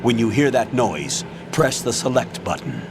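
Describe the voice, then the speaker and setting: An actor playing a stern, authoritative military commander in a video game, giving a measured, clipped mission briefing. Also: male voice, mid-range voice, sing-song, gravelly, background noise. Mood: businesslike, commanding. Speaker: commanding officer. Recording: loud music and effects underneath.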